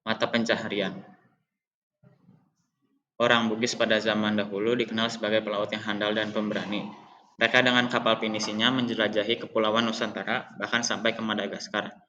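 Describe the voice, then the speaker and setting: A young man reads out a school report in a steady, list-like way, stumbling now and then on a word. His voice sits fairly low and hardly moves in pitch. The recording sounds dull and lo-fi. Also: brisk. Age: young adult. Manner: even, list-like recitation with occasional slips and restarts. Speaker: high school student presenting. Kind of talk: reading a school presentation aloud.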